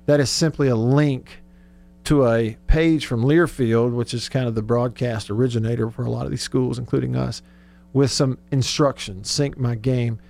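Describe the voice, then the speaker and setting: A man's voice: medium pitch, steady tone, moderate pace, creaky, a little mellow. A man hosting a casual sports radio show, conversational and thinking aloud as he goes.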